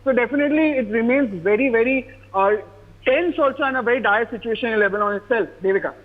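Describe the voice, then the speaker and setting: A man with an Indian accent giving a live news report as a correspondent, halting and repetitive in his delivery. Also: very high-pitched. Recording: thin, phone-like radio-style line.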